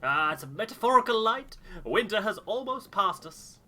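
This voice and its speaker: A man voicing a dreamy, theatrical game crew member with a wistful, breathy delivery. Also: high-pitched, very animated, moderate pace, sharp tone, pitched above the performer's own voice, exaggerated voice. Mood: dreamy, hopeful.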